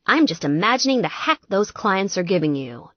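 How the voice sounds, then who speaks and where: A woman's voice: medium pitch, big pitch swings, normal speed, no echo, lo-fi sound. A woman voicing a lovesick, clingy young man in an audiobook, earnest, pleading and gushing. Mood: eager.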